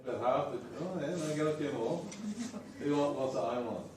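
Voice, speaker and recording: A man giving a talk to a live audience in a conversational tone, often putting questions to the crowd. His voice sits at an ordinary pitch for a man. He is picked up from some distance in a roomy, echoey space, with faint ambience underneath. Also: a little fast, a little mellow, slight background noise. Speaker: guest speaker. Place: lecture room before an audience.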